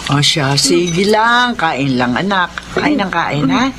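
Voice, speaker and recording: A lowish, swooping voice of a doting older Filipino mother. She is affectionate and caring in radio drama style, with ambient noise behind her. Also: very animated, slow.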